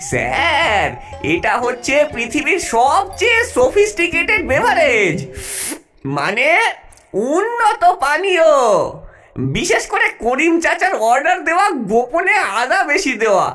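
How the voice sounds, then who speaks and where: A woman voicing a centuries-old fish-ghost alien secret agent in a cartoon, excitable and soft-hearted, dramatically exclaiming and pleading. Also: sits high, unhurried, faint music behind, heavily acted. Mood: chatty, persuading. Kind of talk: animated cartoon voice acting.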